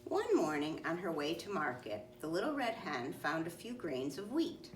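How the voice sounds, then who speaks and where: Low-pitched woman reading a storybook, roomy sound. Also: wildly swooping pitch, moderate pace. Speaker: storybook reader. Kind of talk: children's read-aloud narration. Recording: roomy, distant mic, faint noise.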